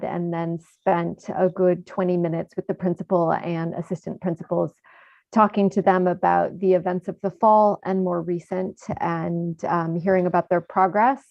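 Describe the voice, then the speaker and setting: A woman council member giving a measured, formal report at a meeting. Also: lowish voice, creaky, a little mellow, no room sound, dull audio.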